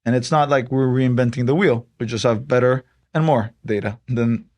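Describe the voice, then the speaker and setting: A young man from Honduras talks as a guest on a podcast, his voice sitting at an ordinary medium pitch. He explains things step by step in a relaxed way, with plenty of "um" and "like" between his points. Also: moderate pace. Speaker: startup co-founder, guest. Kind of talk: casual podcast interview.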